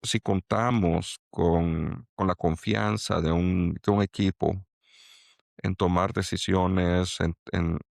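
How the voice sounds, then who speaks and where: A middle-aged man from El Salvador with a Salvadoran accent and a low, gravelly voice. He talks in a halting, reflective way, with lots of fillers and restarts. The sound is dry and close. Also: fairly even intonation, no echo. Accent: Salvadoran Spanish. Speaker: angel investor guest. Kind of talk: reflective interview talk.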